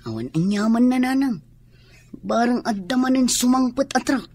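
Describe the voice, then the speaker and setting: An actress playing a dutiful, hard-working young person, earnest and reassuring, in a radio drama. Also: female voice, fairly high pitch, normal speed, a little mellow, close to an everyday voice. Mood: caring, earnest. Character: dutiful, hard-working young adult child of ailing mother.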